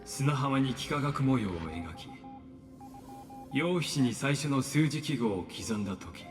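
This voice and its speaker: A man's voice: mid-range voice, animated, lightly acted. A man playing a coldly courteous game villain. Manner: formal, polite and measured. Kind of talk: Japanese game dub acting. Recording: game audio with reverb and faint music.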